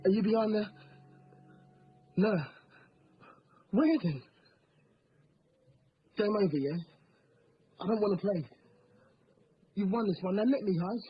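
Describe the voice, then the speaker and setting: A man's voice: high voice, lively intonation, takes it slowly, long pauses, slightly rough, lo-fi sound, full character voice. A man playing a streetwise, haunted homeless youth with a British accent in a drama, needling and probing as he tells stories. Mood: searching, impatient. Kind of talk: dramatic dialogue with storytelling monologues.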